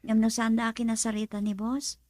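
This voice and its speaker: An actress playing a young woman who works at a club, asking her father lively questions. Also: fairly high pitch, a little fast, no room sound, clean studio sound, natural voice. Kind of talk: radio drama acting.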